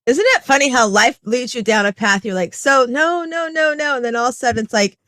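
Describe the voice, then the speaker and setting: A woman's voice: on the high side, a little fast, a bit bright, no echo. A woman hosting a podcast, asking questions and praising her guest in an enthusiastic, effusive way. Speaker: podcast host. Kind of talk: casual podcast interview. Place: Tampa area.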